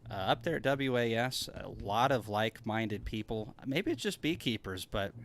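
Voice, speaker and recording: A man's voice: medium pitch, expressive, moderate pace, fairly bright tone, slight background noise. A man chatting casually as a guest, folksy and given to joking. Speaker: conference speaker, livestream guest. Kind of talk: casual chat.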